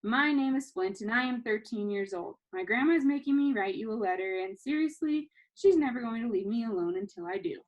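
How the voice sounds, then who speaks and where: A woman's voice: fairly high pitch, animated, a little fast, hardly any pauses, poor audio. A woman voicing a reluctant, awkward thirteen-year-old boy in a storybook, with a hesitant manner. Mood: earnest.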